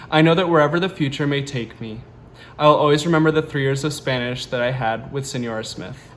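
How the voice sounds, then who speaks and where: A man's voice: mid-range voice, a little fast, a little hiss or hum. A young man in his twenties, a university student, reading a prepared, formal tribute to a former teacher. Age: 20s. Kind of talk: prepared award nomination statement.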